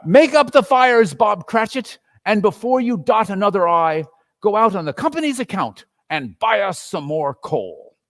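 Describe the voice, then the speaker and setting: A man's voice: sits high, very animated, normal speed, no echo, voice pushed up, full character voice. A man playing an elderly reformed miser, exuberant and exclamatory, in a theatrical reading of a Victorian story. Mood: hearty generosity. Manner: exclamatory, exuberant, chuckling old gentleman. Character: elderly miser, newly reformed, giddy with joy.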